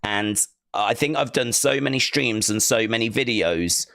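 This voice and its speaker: A man's voice: mid-range voice, expressive, a little slow, vocal fry, sharp tone, no echo, clean studio sound. Man with a tired, weak voice. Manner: rambling, repeats himself, talks himself through. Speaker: live streamer hosting a fight watch-along. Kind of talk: casual live-stream chat. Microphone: streaming microphone.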